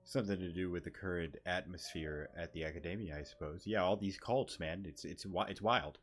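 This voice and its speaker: A man's voice: deep voice, a little fast, soft background music. A man giving casual, slangy, sweary reaction commentary as he plays a video game. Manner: laid-back, slangy, sweary. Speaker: gaming YouTuber.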